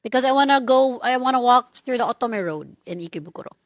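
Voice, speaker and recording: A woman co-hosting a casual chat podcast, telling animated anecdotes, acting out quoted voices and laughing often. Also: a little high, quick pace, no echo, dull audio. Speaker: podcast co-host.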